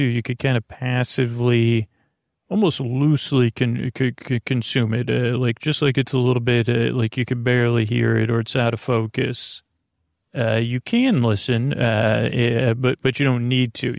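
A man with a gravelly, creaky voice, recorded close and dry. He hosts a bedtime podcast, wandering through an unscripted monologue. He is gently reassuring, often halting, trailing off and starting his phrases again. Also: medium pitch, no echo. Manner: meandering and halting, trailing off and restating himself. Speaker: host of a bedtime sleep podcast. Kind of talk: rambling, improvised bedtime monologue.

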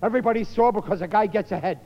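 An American actor playing a middle-aged, blustering, soft-hearted family man in a 1950s radio comedy, speaking in exasperated, ungrammatical style. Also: high voice, rapid-fire. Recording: muffled, noisy 1950 radio recording, hall echo.

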